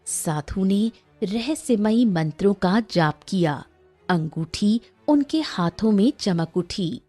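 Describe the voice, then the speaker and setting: Slow, mellow woman storyteller. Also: mid-range voice, lively intonation, soft background music. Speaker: story narrator. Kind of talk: scripted children's story narration.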